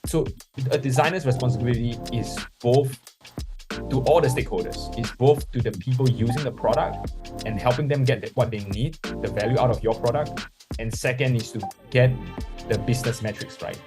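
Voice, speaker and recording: A man's voice: medium pitch, fairly quick, over music. A young man from Singapore, telling his story as a podcast guest in an earnest way full of "you know" fillers. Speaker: guest, startup founder.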